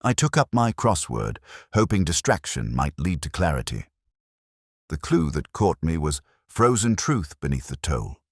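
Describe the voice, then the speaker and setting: A man playing a world-weary older detective inspector, narrating a mystery audio drama in a measured, literary, brooding manner. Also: a bass voice, big pitch swings, husky, no echo, clean studio sound, heavily acted.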